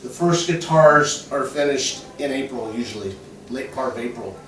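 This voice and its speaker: A man's voice: medium pitch, moderate pace, fairly bright tone, slight background noise. A man, a shop teacher, talking plainly to parents, his phrases trailing off. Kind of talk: addressing parents at a school open evening. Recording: very echoey classroom from far off.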